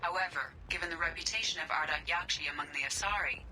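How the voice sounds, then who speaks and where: A woman's voice: deep voice, fast, background noise, lightly acted. A woman playing a composed alien warrior in a video game, speaking in a formal, precise way. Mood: grave, composed. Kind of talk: video game character acting.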